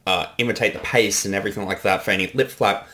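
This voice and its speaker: A man with a fairly low voice gives casual director's commentary. He explains choices reflectively, pausing and picking through his thoughts. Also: steady tone, bright, forceful tone. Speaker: director giving creator commentary. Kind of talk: casual behind-the-scenes commentary.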